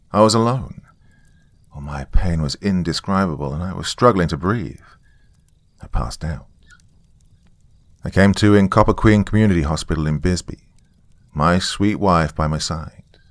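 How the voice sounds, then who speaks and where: A man's voice: low-pitched, dry recording. A man giving a dramatic first-person reading of a scripted story. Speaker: horror story narrator.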